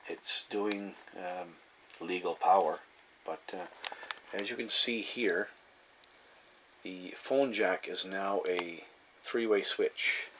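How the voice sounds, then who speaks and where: A man giving a casual, unscripted show-and-tell in a halting, meandering way, often repeating himself. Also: deep voice. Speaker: hobbyist collector presenting his radio. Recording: clear background noise, room ambience.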